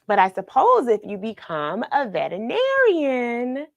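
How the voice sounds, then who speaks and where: A woman telling a children's picture story in an expressive way, pausing for chatty questions to the young listener. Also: a little high, vocal fry, no room sound, highs cut off. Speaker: children's read-along storyteller and host. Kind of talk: animated picture-book read-aloud with questions to young listeners.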